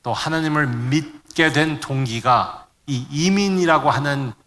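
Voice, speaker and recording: Bright-voiced middle-aged man preaching in a reverberant hall. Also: fairly high pitch, unhurried. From Korean immigrant family in the United States. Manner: searches for words, mixes in English terms. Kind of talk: sermon to a congregation.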